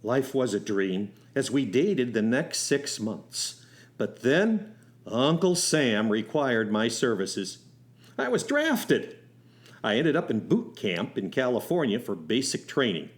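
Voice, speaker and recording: A man's voice: mid-range voice, big pitch swings, takes it slowly. A man acting as a young driving instructor and soldier, telling his love story in a wry, folksy way for a radio play. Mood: wry, nostalgic. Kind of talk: first-person radio storytelling with acted dialogue.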